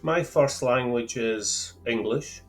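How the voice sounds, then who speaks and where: A man with a Scottish accent explaining fuel terms to camera in a careful, deliberate way, with a lightly wry touch. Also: mid-range voice, soft background music. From Scotland. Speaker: outdoor gear channel host. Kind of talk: measured explanatory talk to camera.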